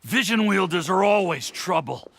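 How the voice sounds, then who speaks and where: An actor playing a menacing street henchman with a gravelly voice and slangy, sneering tough-guy talk in a video game. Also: sits high, expressive, normal speed, fairly bright tone, a little hiss or hum, exaggerated voice. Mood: disgruntled. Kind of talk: anime video game voice acting.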